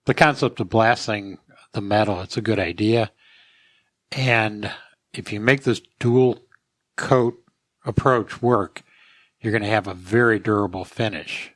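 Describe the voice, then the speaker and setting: A man's voice: on the low side, measured pace, long pauses, fairly bright tone, no echo, studio quality. A man hosting a podcast as its expert, answering questions with conversational technical advice.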